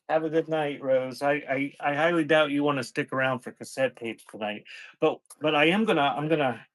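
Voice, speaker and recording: A man hosting a casual live sales stream, rambling and thinking out loud in a scattered, conversational way. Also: on the high side, normal speed, no room sound, rough recording. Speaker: live-stream seller hosting a sale and unboxing.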